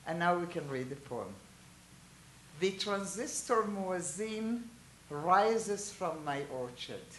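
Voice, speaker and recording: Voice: mid-range voice, slow pace, a little hiss or hum. A teacher from Israel, raised speaking Hebrew and Hungarian, giving a chatty informal talk and asking the listeners questions. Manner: chatty, asks the listeners questions, animated asides. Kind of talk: informal lecture to a small group. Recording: roomy echo.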